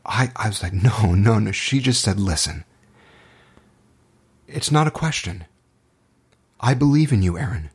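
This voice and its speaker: A man's voice: fairly low pitch, lively intonation, measured pace, long pauses, slightly rough. A man speaking as an acting teacher, telling personal stories to motivate students in an earnest, impassioned way with dramatic, emphatic phrasing.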